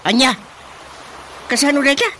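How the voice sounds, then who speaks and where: Sharp, irate village chairman. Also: very high voice, moderate pace, slight background noise. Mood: indignant. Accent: Ilocano. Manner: scolding, exclaiming. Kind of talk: Ilocano radio comedy drama acting.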